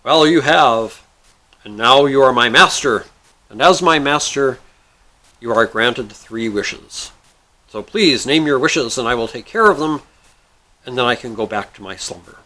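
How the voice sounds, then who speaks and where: A man's voice: medium pitch, lively intonation, unhurried, bright, forceful tone, rough recording. A man voicing a weary, formal genie in a told joke, speaking in a stately and grudging way. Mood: stern, weary.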